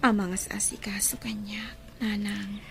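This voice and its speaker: A woman with an Ilocano accent playing a frail, despairing young wife in a radio drama, her voice weak and breathy. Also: medium pitch, level tone, unhurried, over music. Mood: weak, grateful, apologetic.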